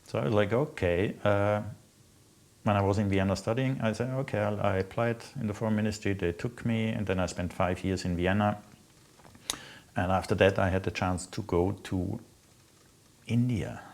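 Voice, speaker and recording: Middle aged austrian guy w german as first language, deep voice, recounting his life unscripted, kinda halting and thoughtful. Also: fairly even intonation, darkish tone. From Austria, Salzburg province. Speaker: interviewee recounting own life. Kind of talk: unscripted personal storytelling.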